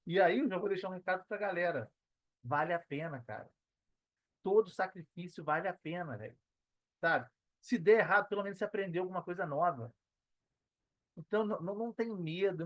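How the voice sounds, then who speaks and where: A man's voice: on the high side, dry recording, rough recording. A Brazilian man speaking as a podcast guest in casual, slangy conversation, earnest and emphatic, repeating phrases for emphasis. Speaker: podcast guest, digital entrepreneur. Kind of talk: casual conversational interview talk.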